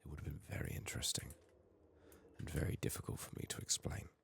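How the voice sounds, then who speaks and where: A man who is ill and hoarse, speaking in a subdued, halting, soft ASMR murmur that slips into whispers as he narrates a game. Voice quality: husky, raspy, breathy. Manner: subdued, hushed, halting with long pauses. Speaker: ASMR gaming streamer.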